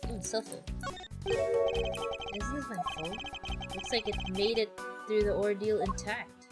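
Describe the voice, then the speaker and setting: A woman's voice: medium pitch, slow, over loud music. A woman game streamer reading dialogue aloud as she plays.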